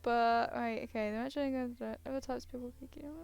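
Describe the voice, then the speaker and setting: A woman with a fairly high, very smooth, clean voice reads a visual novel aloud as a streamer. She sounds tired and often interrupts herself with hesitant, casual comments. Music plays underneath. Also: steady tone, fast talker, darkish tone. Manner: hesitant, self-interrupting asides. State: tired, yawning. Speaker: gaming streamer.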